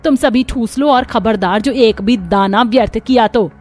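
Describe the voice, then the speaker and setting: Woman playing a high-pitched, nagging older mother-in-law. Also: normal speed, a little mellow, faint music behind, close to an everyday voice. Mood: scolding. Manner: nagging, sarcastic. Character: scolding, sharp-tongued older mother-in-law, bossy and exasperated. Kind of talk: Hindi cartoon family drama.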